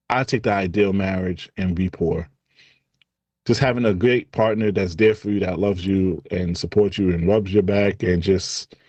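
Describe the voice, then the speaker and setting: A man with a Haitian accent co-hosting a podcast in casual chat, animated and quick to laugh, his voice jumping high when he gets excited. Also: breathy edge, dry recording, muffled recording. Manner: lively, jumps high in pitch when excited, laughs often. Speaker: podcast co-host.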